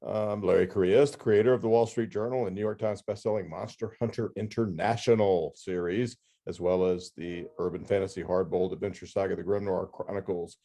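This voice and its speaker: A man's voice: deep voice, fairly even intonation, fairly quick, hardly any pauses, dark tone. A man hosting a podcast, reading scripted announcements with casual, tongue-in-cheek asides. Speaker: podcast host and book editor.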